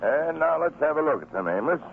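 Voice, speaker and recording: A man's voice: fairly high pitch, very animated, brisk, phone-quality sound. A man playing a garrulous, placating fish merchant who haggles hesitantly, in an old radio drama style. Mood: haggling. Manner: hesitant, muttering, apologetic. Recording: thin, band-limited old radio recording, faint noise.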